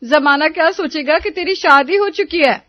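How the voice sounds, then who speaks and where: A woman voicing a judgmental middle-aged neighbourhood aunt who taunts and scolds, in an animated story. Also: high voice, normal speed, dry recording, highs cut off, natural voice. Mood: nosy, scolding. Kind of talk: Hindi animated moral story dialogue.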